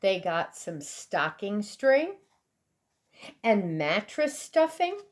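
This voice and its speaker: A woman reading a children's storybook aloud expressively, with deliberate, dramatic emphasis for young listeners. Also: medium pitch, big pitch swings. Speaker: storybook reader.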